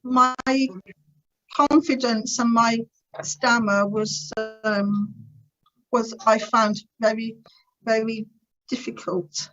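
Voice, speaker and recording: A woman's voice: on the high side, steady tone, slow, pauses often, a bit bright, highs cut off, faint music behind. A woman in her fifties giving a personal conference talk over a video call, stammering with repeated sounds and blocks. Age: 50s. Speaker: conference speaker.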